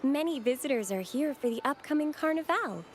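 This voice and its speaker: A woman playing a gentle young acolyte of a religious order in a video game, speaking politely and formally in measured phrases. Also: sits high, lively intonation. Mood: courteous, welcoming. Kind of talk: scripted video game voice acting. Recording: game music behind the voice.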